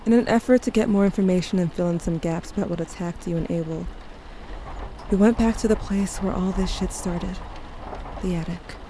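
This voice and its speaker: Woman as low-voiced, guarded, grieving teen narrator. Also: normal speed, warm tone, slight background noise, natural voice, low-quality recording. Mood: grieving, haunted. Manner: introspective, confessional, wry. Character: guilt-haunted, guarded teenage narrator grieving a dying loved one. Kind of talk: first-person audio drama narration.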